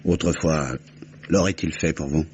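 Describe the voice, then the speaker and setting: An earnest police inspector with a deep voice. His delivery is measured and urging, in vintage radio drama style. The sound is dull and slightly hissy. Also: fairly even intonation, darkish tone. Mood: urgent, earnest. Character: grave, insistent police inspector with a conscience. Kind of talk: old French radio mystery acting. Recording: muffled, hissy old radio recording.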